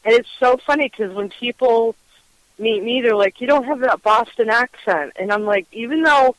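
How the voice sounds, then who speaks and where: A woman's voice: fairly high pitch, normal speed, dry recording, poor audio. A woman from Western Massachusetts hosting a casual interview, enthusiastic and rambling, laughing often. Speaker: podcast host.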